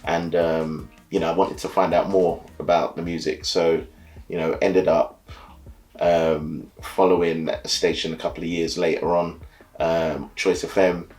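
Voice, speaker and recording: A man born and raised in the UK who leads a carnival band, explaining carnival in a relaxed, easygoing way, often saying "you know" and "so yeah". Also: deep voice, unhurried, faint music behind, rough recording. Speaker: carnival band leader and soca DJ. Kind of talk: casual explainer talk to camera.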